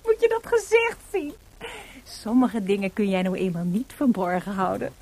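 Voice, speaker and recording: An actress playing a composer's sharp, sceptical, teasing young wife in a Dutch radio drama, with a pointed, expressive delivery. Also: female voice, mid-range voice, normal speed. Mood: giggly, shrieking.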